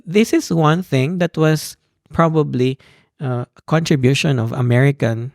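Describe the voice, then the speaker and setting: A young Filipino man, a PhD student, speaking as a podcast guest with a Filipino accent and an animated storytelling manner. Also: medium pitch, measured pace, vocal fry, no room sound, studio quality. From Philippines. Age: young adult. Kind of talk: conversational interview.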